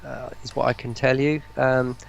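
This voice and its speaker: A man's voice: medium pitch, flat delivery, normal speed, vocal fry. A man in a casual online interview, rambling and often breaking off to search for words. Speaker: voice pack producer, interview guest.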